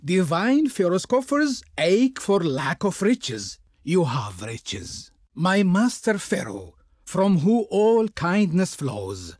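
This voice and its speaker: An actor playing a haughty, pompous envoy of an ancient Pharaoh in a video game, speaking in ornate, declamatory style. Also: male voice, sits high, darkish tone, no echo, clean studio sound, exaggerated voice. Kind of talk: theatrical video game voice acting.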